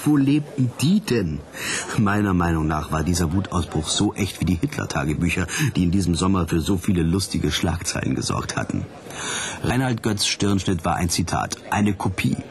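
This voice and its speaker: An actor playing a hard-boiled investigator who narrates his case in a radio drama, sardonic and deliberate. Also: sits low.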